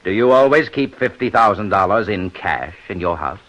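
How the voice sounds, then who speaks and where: An actor playing a methodical, shrewd police inspector in a 1950s radio detective drama, with deliberate, probing questions on an old radio recording. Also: male voice, fairly low pitch, expressive, fairly bright tone, low-quality recording. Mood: stern, probing.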